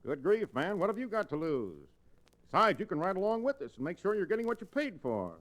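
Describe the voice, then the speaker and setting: An actor playing a wordy, weary comic sidekick in an old-time radio Western, mock-formal and complaining. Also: male voice, sits high, big pitch swings, a little fast, a bit bright. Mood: exasperated, reasoning. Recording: restored vintage radio recording.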